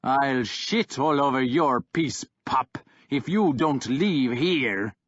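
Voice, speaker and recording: A man voicing a scornful older male warlord who taunts slowly and snarls. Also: medium pitch, expressive, no echo, highs cut off. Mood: scornful taunting. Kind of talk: audiobook character voice.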